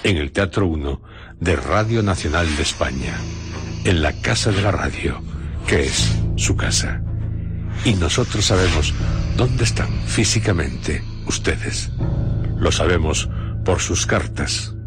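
Male radio host, Castilian Spanish. Bottomless low register, unhurried solemn delivery of dramatic scripted introductions. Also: animated. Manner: solemn, theatrical, deliberate phrasing with pauses. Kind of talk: dramatic scripted radio narration introducing horror and adventure stories. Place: radio studio theatre. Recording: music bed under studio radio voice. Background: music.

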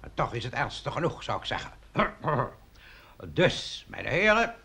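A man's voice: medium pitch, big pitch swings, a little slow, creaky, sharp tone, heavily acted. An actor playing a harried town mayor in a radio comedy, stammering and repeating himself as he calls a meeting to order. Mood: exasperated. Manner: flustered, stammering repetition, calling to order. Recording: noisy old radio play recording.

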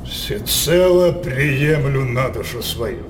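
An actor playing a scheming, depraved king in a theatrical radio drama, declaiming imperiously with teasing pauses. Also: mid-range voice, animated, noisy background. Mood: sly, provocative. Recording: studio radio play over loud music.

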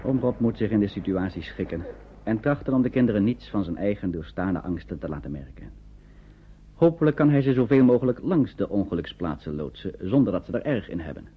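A man's voice: fairly low pitch, lightly acted. A man delivering scripted narration for an old radio play. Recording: phone-like old radio recording, faint noise.

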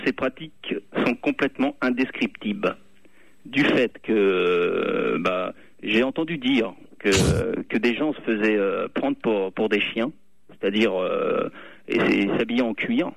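A man's voice: on the low side, expressive, unhurried, vocal fry, dry recording. A man calling in to a CB radio debate, teasing the host and telling crude stories. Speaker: caller. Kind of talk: joking banter over CB radio. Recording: dry crackly CB radio sound.

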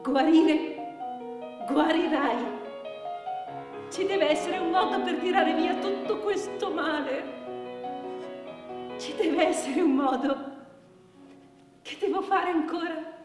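Casting: grieving, weary mother, high voice, broken stage monologue, echoing hall with piano behind. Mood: pleading, anguished. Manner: slow, broken phrases with repetitions. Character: grieving mother of an assaulted daughter, anguished, weary. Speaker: stage actress. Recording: echoey hall, distant mic, piano music.

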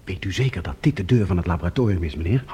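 An actor playing a nervous, deferential subordinate in a radio drama, jumpy and apologetic. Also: male voice, deep voice, expressive, rapid-fire, dark tone, slightly rough, full character voice. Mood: uneasy.

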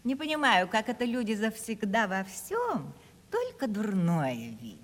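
An actress in a 1970s Russian radio play as a touchy aging housekeeper, coy and indignant with affected gentility. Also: female voice, medium pitch, wildly swooping pitch, unhurried, long reverb tail. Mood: offended, indignant.

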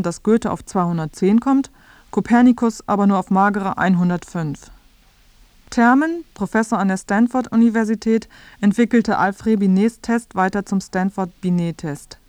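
A woman's voice: medium pitch, a little mellow, dry recording. Woman narrating, close and dry. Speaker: narrator and reporter of a radio feature. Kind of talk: scripted narration.

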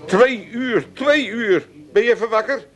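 A man's voice: extremely high pitch, highs cut off, noisy background. A blustering old Amsterdam father. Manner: stammering, indignant, quick to bluster. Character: excitable older Amsterdam father, blustering and flustered. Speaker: actor in radio drama. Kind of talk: 1980s radio comedy acting.

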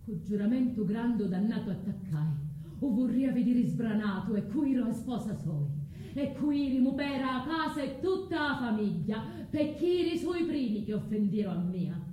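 A woman's voice: fairly high pitch, lively intonation, moderate pace, warm tone, close to an everyday voice. An actress with a southern Italian accent playing a bitter, vengeful abandoned foreign woman in a theatrical stage monologue. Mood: vengeful incantation. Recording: echoey room, faint background noise.

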